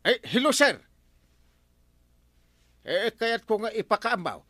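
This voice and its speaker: A man's voice: high-pitched, very animated, takes it slowly, sharp tone, no room sound, heavily acted. A man playing a bumbling, hesitant village captain and argumentative husband in a comedy radio drama. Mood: nervous, eager.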